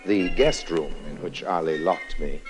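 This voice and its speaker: An actor playing a smooth-talking, roguish adventurer in a 1950s radio drama, glib and wry, both in dialogue and telling his own story. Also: low-pitched, unhurried, over music. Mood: brooding narration. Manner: wry, glib, stammers when cornered. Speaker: lead actor and narrator. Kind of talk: 1950s radio drama acting and first-person narration. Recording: old 1950s radio recording.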